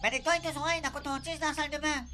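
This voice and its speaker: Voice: sits high, steady tone, very fast, bright, forceful tone. A performer playing a dismissive, exasperated housemate with a Réunion Creole accent in a comic radio serial. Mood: irritated. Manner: exasperated, scoffing. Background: music.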